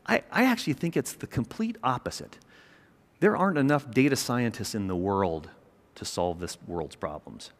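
Middle-aged man giving an emphatic keynote. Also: on the low side. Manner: energetic, emphatic, pacing the stage. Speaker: keynote speaker, company chairman. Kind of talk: conference keynote speech. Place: large conference stage. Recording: long reverb of a large hall.

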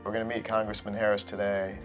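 A man speaks in a low, very even voice as he leads a business group through an indoor meeting. Music plays clearly behind him. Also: quick pace. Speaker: hotel owner leading an advocacy group. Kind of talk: briefing and meeting talk.

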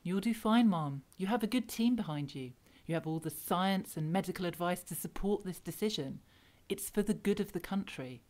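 A woman playing a supportive, deferential political aide, speaking in a hushed, respectful way in an audio drama. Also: on the low side, expressive, normal speed, hardly any pauses, close to an everyday voice. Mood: reassuring. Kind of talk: radio drama acting.

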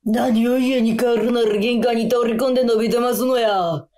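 A woman's voice: a little high, steady tone, normal speed, a little mellow, natural voice. Woman putting on a crude scary kansai debt collector, threatening, radio play. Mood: menacing. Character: threatening loan shark, menacing and crude, old Osaka slang. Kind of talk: radio drama acting.

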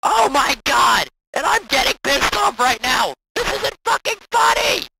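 A woman's voice: high voice, sing-song, a little slow, creaky, background noise, exaggerated voice. A woman playing an irritable, explosive male cartoon hedgehog co-host in an amateur audio drama. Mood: furious, ranting. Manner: irritable, explosive, shouts when thwarted. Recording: loud music behind the voice.

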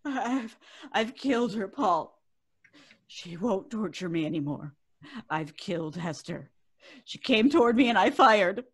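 An actress playing an unhinged wife possessed by a vengeful spirit, in melodramatic old-time radio horror style with archaic turns of phrase. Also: female voice, mid-range voice, expressive, unhurried, husky. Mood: shaken, dazed. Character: unhinged woman torn between terrified wife and vengeful old-world spirit. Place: home room, remote video call.

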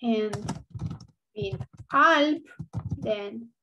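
Slow, patient Romanian-accented woman teacher. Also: a little high, sharp tone, dull audio, a little hiss or hum. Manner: slow, patient, repeats words for learners. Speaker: language teacher. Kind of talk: online language lesson.

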